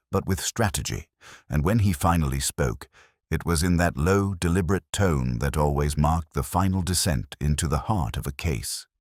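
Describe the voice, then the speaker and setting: A man narrating a detective story in a measured, dramatic storytelling manner. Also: low voice, no echo, clean studio sound. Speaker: audiobook narrator.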